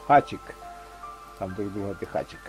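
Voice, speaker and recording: A man's voice: fairly low pitch, moderate pace, soft background music. A man in a casual voice chat about faith, rambling and searching for words as he talks. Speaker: chat participant. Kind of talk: casual religious discussion. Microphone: walkie-talkie voice chat app.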